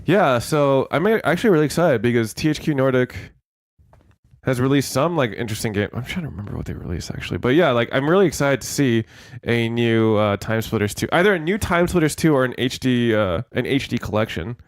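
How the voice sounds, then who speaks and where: A man's voice: medium pitch, expressive, fairly quick, dry recording, clean studio sound. A young man co-hosting a casual gaming podcast, sounding congested and rambling with fillers and swearing. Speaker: podcast co-host.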